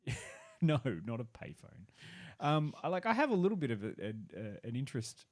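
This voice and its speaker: A man in casual podcast chat, halting and self-deprecating. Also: medium pitch, very animated, a little mellow. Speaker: podcast panelist.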